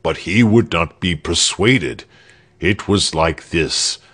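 A man's voice: sits low, slow pace, fairly bright tone, husky, full character voice. A man voicing an earnest, slightly indignant male village spokesman recounting a visit.

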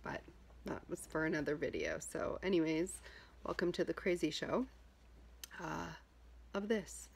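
A woman in her thirties with a husky voice, talking informally to camera and coaching in an emphatic, repetitive, conversational way. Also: sits low, unhurried, long pauses. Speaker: health vlogger giving self-help advice. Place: small furnished room at home, indoors.

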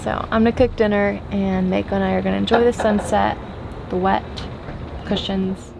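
A woman's voice: medium pitch, steady tone, normal speed, creaky. Young woman, twenties, casual selfie vlog from a boat deck, chatty and rambling, wind noise heard behind her. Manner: chatty, thinking aloud with fillers. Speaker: sailing vlogger. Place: outdoors on a sailboat deck at anchor. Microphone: camera held at arm's length. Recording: noisy outdoor wind and water.

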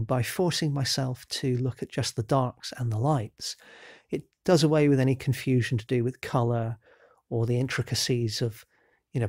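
A man with a bit of a cold talks through a painting demo in a relaxed, explanatory way. His voice carries a gravelly edge at a middling pitch. The sound is dry and close, with almost no room around it. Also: no echo. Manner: relaxed, explanatory, with frequent ums and self-corrections. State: has a cold. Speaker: painting instructor. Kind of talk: real-time painting demo narration.

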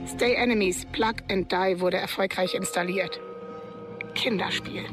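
An actress playing a defiant, taunting hacker in a sci-fi audio drama. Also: female voice, mid-range voice, fairly even intonation, unhurried, music in the background, natural voice.

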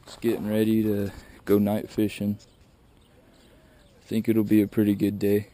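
A man's voice: fairly low pitch, completely flat delivery, moderate pace, warm tone. A young man talking casually to an action camera outdoors while fishing, in a plain, matter-of-fact way. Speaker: fishing vlogger. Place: outdoors by a truck and a river at dusk. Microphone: wide-angle action camera held close.

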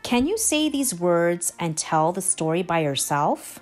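A woman reading a children's storybook aloud in an expressive, careful way. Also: fairly low pitch, unhurried, soft background music. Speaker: children's storybook reader, channel regular. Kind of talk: expressive read-aloud narration for young children.

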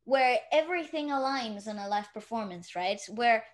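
A woman's voice: on the high side, lively intonation, moderate pace. A young woman co-hosting a podcast from a living room, reflective and searching for words in casual conversation. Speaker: podcast co-host.

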